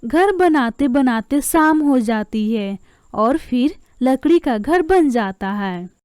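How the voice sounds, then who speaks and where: A woman's voice: high-pitched, expressive, takes it slowly, darkish tone, clean tone, dry recording, studio quality. A woman narrating a scripted story as a storyteller.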